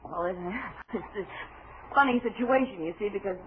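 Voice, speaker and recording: An actress in a 1940s radio drama playing a chatty, wary young vaudeville dancer, with an American accent and a halting, slangy way of talking. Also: female voice, mid-range voice, a crowd in the background, lightly acted. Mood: chatty, nervous. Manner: halting, breezy, slangy show-business talk. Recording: muffled, noisy 1940s radio recording.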